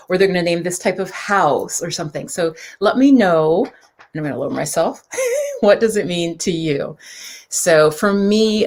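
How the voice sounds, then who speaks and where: A woman from New York hosting a casual live stream, reading viewers' comments aloud and chatting loosely with fillers and asides. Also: fairly low pitch. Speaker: livestream host.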